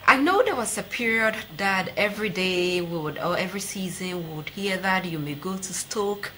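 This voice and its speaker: A woman with a Ghanaian accent presents a sports interview in a bright, forward voice. She speaks as a TV host, putting questions from the studio desk, with clear noise on the recording. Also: mid-range voice, animated, normal speed.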